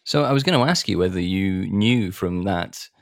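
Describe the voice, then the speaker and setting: Host: man, low gravelly voice, dry close sound, curious interviewer tone. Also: lively intonation, moderate pace, no echo. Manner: curious, asks follow-up questions. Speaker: podcast host. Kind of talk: conversational interviewing.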